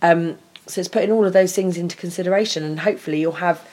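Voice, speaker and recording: Chatty woman, lowish voice. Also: quick pace, a little mellow. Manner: chatty, laughs often, many restarts. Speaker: podcast co-host. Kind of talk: casual podcast chat.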